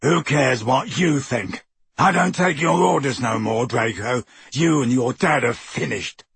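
A man voicing Crabbe, a huge, thuggish teenage boy wizard who is slow and soft-spoken but quick to turn menacing, in an audiobook reading. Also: fairly low pitch, very animated, slight background noise, voice pushed down, exaggerated voice. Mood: furious.

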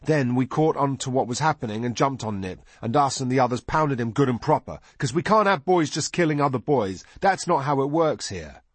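A man's voice: medium pitch, lively intonation, fairly quick, hardly any pauses, slightly rough, no echo, voice pushed up. A man voicing eager, squabbling young boys with childlike, unschooled speech in an audiobook story. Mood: earnest, excited. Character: eager, squabbling young boys, twin brothers, bloodthirsty and righteous.

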